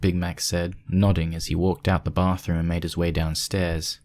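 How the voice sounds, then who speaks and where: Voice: deep voice, level tone, moderate pace, a little mellow. A narrator reading a scripted fan fiction story aloud.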